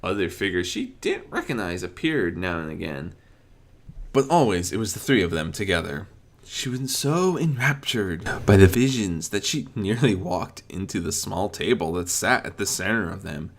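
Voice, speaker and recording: A man narrating a fan fiction audiobook in an expressive storytelling style. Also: sits low, moderate pace, gravelly.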